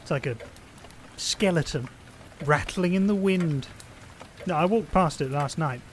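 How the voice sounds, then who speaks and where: A man's voice: a little high, measured pace, a little hiss or hum, lightly acted. A man playing a timid, pleading young husband and father in an audio drama, hesitant and awkward in manner. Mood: gloomy. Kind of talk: naturalistic audio drama acting.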